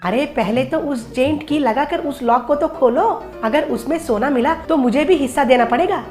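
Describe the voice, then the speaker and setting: A woman voicing a clever, confident and slightly boastful schoolboy in an animated story, explaining things in a self-assured way. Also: high voice, normal speed, faint music behind, close to an everyday voice. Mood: eager. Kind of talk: Hindi animated children's story dialogue.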